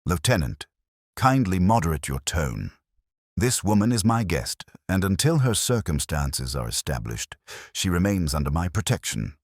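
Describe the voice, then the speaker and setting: A man voicing an imperious genius detective in an audiobook, deep, composed, formal and pedantic. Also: big pitch swings, normal speed, no room sound, studio quality, heavily acted. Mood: firm. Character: imperious, dignified male genius detective, coolly immovable.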